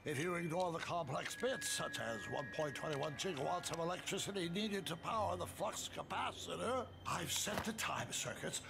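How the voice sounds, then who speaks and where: A man playing an excitable, eccentric older inventor in an animated video game, theatrical and full of exclamations, with a creaky voice. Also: on the high side, moderate pace, hardly any pauses, background noise. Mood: exultant. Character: excitable eccentric older male inventor, toy cartoon figure. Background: loud music.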